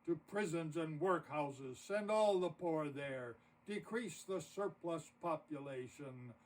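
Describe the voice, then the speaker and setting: A man voicing a callous old miser in a storybook poem, gruff, dismissive and emphatic. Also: a little high, animated, slow, a little mellow. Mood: scornful. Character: grumbling, callous old miser, scornful of Christmas. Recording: distant mic with audible noise.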